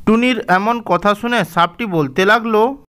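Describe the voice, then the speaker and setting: A man telling a cartoon fairy tale in a measured, gently didactic way. His voice sits high for a man and comes across smooth and resonant. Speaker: storyteller. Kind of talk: scripted storybook narration.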